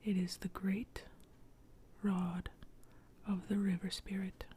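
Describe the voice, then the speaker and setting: A woman voicing an ancient, benevolent male river spirit in a slow, soothing whisper for a bedtime-style story. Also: mid-range voice, little pitch movement, mellow, a little hiss or hum, natural voice. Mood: kindly. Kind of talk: ASMR storytelling.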